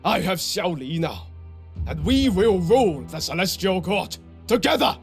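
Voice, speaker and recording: An actor playing an arrogant, boastful villain warrior who claims godhood in a video game cutscene. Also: male voice, sits high, big pitch swings, measured pace, heavily acted. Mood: gloating. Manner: boastful, grandiose. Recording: music behind the voice.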